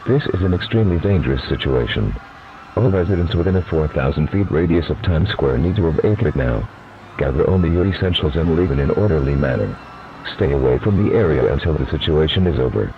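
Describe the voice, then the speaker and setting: A man reading a scripted emergency broadcast alert in a flat, formal, synthetic manner. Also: rumbling low. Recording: muffled lo-fi broadcast with clear noise.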